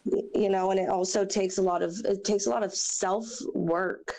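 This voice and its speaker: A young woman with a raspy, hoarse voice from a chest cold, sharing her story as an interview guest in a halting, earnest way with many fillers. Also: medium pitch, steady tone, warm tone, poor audio. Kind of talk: personal testimony in a podcast interview.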